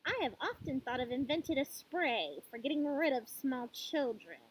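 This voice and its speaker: A woman's voice: high voice, big pitch swings, moderate pace, a little hiss or hum, poor audio. A woman voicing a furious, tyrannical headmistress who loathes children, haranguing and menacing, in a storybook reading. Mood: gloating disgust. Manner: haranguing, barking, grandly menacing.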